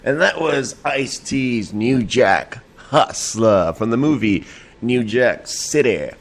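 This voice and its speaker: Rambling, tipsy late-night podcast host. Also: male voice, medium pitch, animated, takes it slowly. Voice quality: a little nasal. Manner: rambling, laughing, profane, with many fillers. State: slightly tipsy. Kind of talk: late-night casual solo talk show. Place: home at night.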